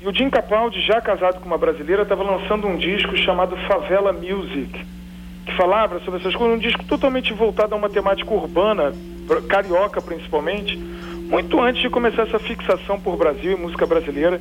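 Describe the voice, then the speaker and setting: A man, a musician guest on a radio show, telling stories in an animated, joking way. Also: high voice, a little fast, muffled recording. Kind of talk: casual radio chat. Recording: music bed under radio talk.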